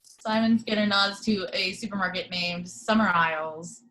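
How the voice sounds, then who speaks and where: A woman's voice: mid-range voice, normal speed, sharp tone, rough recording. A woman reading screenplay action descriptions as the narrator of an amateur table read.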